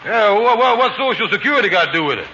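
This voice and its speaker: A man's voice: sits high, sing-song, quick pace, pushed and bright, full character voice. An actor playing a scheming con man in a 1940s radio comedy, coaxing and persuasive, always talking someone into a deal. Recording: lo-fi old radio recording with faint hiss.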